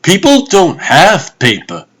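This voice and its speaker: A man's voice: sits high, huge pitch swings, takes it slowly, a bit bright, slightly rough, pitched above the performer's own voice, heavily acted. A man playing an exasperated young government agent in a comic dub, sarcastic and indignant. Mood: sarcastic, geeky.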